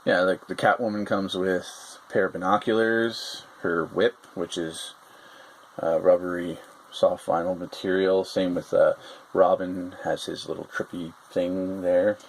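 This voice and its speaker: A man with a very low voice talks casually through a toy review, rambling and hesitating, stopping often on fillers. The room has a faint steady hiss underneath him. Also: unhurried, poor audio.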